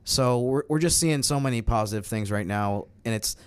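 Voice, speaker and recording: A man's voice: mid-range voice, normal speed, clear, resonant tone, no echo. A man co-hosting a sports podcast, giving earnest, detailed, conversational basketball analysis. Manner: earnest, detailed, stat-heavy explaining.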